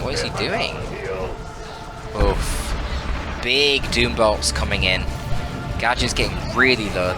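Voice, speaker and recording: A man commentating on a strategy game match as a shoutcaster, explaining tactics analytically as he goes. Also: fairly low pitch. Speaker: esports shoutcaster.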